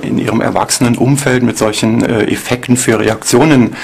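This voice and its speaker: A man's voice: mid-range voice, steady tone, a little fast, vocal fry, darkish tone, husky. A man explaining his view in an interview as a sceptical investigator.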